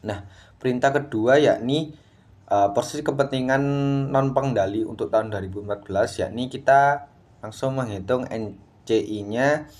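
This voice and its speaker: A man's voice: medium pitch, darkish tone. A young adult man, explaining worked material as a student in a class presentation. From Indonesia.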